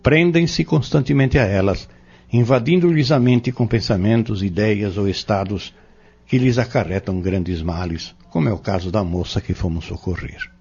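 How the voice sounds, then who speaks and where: An actor playing a patient spiritist mentor in an audio drama, with a Brazilian accent and a formal, measured, preacherly delivery. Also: male voice, lowish voice, a little mellow, slightly rough. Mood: earnest, instructive. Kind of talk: audio drama acting, didactic monologue. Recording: dull lo-fi audio.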